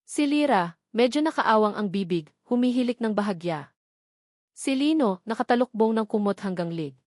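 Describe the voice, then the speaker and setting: A woman's voice: a little high, lively intonation, normal speed, darkish tone, dry recording, clean studio sound. A woman with a Filipino accent reads a scripted first-person dramatic story in short, earnest, sentimental phrases. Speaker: story narrator.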